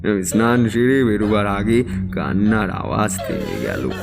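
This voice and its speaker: A man narrating a scripted suspense story in a measured, dramatic storytelling manner. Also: lowish voice, expressive, creaky. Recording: long hall reverb over music. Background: music.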